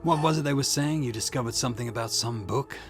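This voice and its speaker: A man playing a calm, politely persistent police detective in a visual novel, measured and courteous as he questions. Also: medium pitch, over music, lightly acted. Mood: polite, probing. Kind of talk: acted visual novel dialogue.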